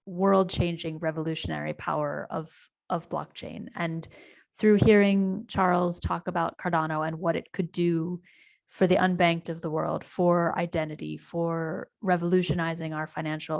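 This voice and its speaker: A woman's voice: on the low side, vocal fry. A middle-aged American woman telling her story and presenting a project on a video call, with many ums and restarts. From United States. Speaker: community presenter. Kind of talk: online town hall presentation.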